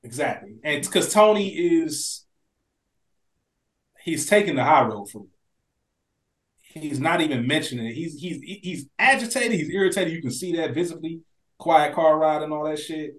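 Male podcast co-host, higher-pitched voice, emphatic and slangy, casual and profane, roomy echo. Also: animated, normal speed, pauses often, fairly bright tone. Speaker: podcast co-host reviewing a TV drama. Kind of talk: animated casual chat.

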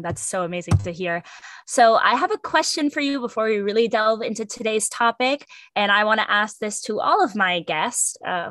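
A woman's voice: mid-range voice, fairly bright tone, no room sound. A woman hosting a podcast interview, upbeat and encouraging, with a scripted-sounding opening. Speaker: podcast host.

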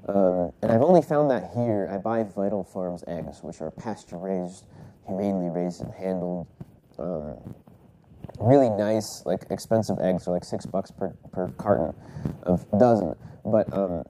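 Low mellow guy w a stuffy cold, coughing now and then, rambly podcast chat with lots of ums. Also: slight background noise. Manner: rambling, self-correcting, many fillers. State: congested, recovering from a cold, coughing. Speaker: podcast host. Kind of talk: casual solo podcast storytelling.

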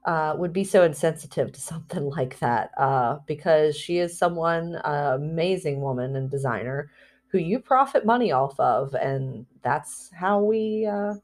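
Low-voiced woman in a heartfelt, rambling vlog. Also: normal speed. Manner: rambling and heartfelt, with frequent fillers and restarts; confessional, soft laughs between phrases. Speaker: spiritual vlogger. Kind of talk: candid personal vlog talk.

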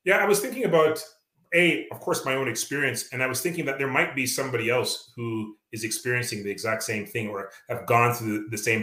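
A Canadian man with a Canadian accent talks earnestly as a guest author, stopping often on fillers and restarts. His voice is bright and forceful. It sounds echoey, like an open room. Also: medium pitch. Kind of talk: reflective interview talk.

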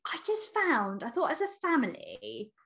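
A woman with a high-pitched voice talks as a podcast co-host in a casual book chat. Her intonation swings widely and animatedly, and her way of talking is chatty, expressive and giggly. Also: normal speed.

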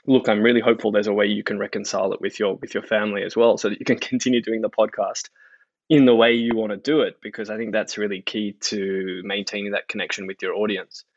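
A man's voice: fairly low pitch, flat delivery, fast, no room sound, highs cut off. A man in his thirties with an Australian accent, talking casually and wryly as a podcast guest. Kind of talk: casual interview conversation.

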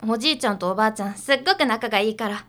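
A woman plays a young underground idol, perky and pushy. The voice is high and bright. The delivery is girlish and breezily familiar, in radio drama style. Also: very fast, natural voice. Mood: confiding.

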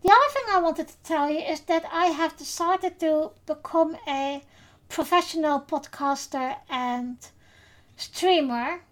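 A Dutch woman, speaking English with her native accent, hosts a casual podcast. Her voice sits high and she talks at a slow, unhurried pace. She pauses often with fillers as she gathers her thoughts. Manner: unhurried, hesitant with many fillers. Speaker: podcast host.

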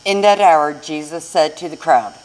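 Low-pitched woman narrating scripture. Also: moderate pace, rough recording. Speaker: narrator of a church Passion reading. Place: inside a church.

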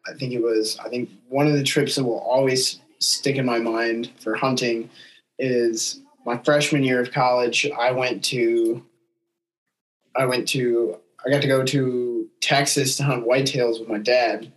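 Enthusiastic young man, mid-pitched, podcast host. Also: fairly even intonation, measured pace, poor audio. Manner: informal, enthusiastic. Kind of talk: casual chat, travel and hunting anecdotes.

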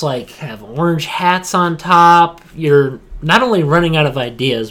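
A man's voice: a little high, fairly bright tone. A man co-hosting a casual gaming podcast, talking in a slangy, blunt and scornful way. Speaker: podcast co-host.

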